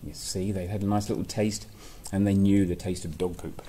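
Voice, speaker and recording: Low-voiced man reading a children's story, noisy recording. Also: fairly even intonation, normal speed, mellow. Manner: playful, chatty asides between read passages. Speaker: storyteller reading a picture book. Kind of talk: expressive children's story reading with casual asides. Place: small plain indoor office-like room. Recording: clearly noisy room recording.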